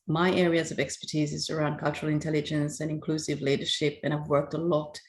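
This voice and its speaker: Woman, 40s, low voice, chatty and lively, home video call. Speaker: leadership coach.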